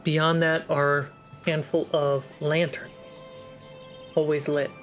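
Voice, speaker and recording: A game master narrates a tabletop fantasy game. The voice sits at a middle pitch, building scenes in short, halting, descriptive phrases. Soft music runs underneath. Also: level tone.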